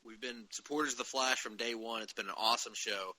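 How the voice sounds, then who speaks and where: A man's voice: mid-range voice, fairly even intonation, fast, pushed and bright, no echo, poor audio. A man hosting a podcast, casually discussing comic-book television shows. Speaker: podcast host.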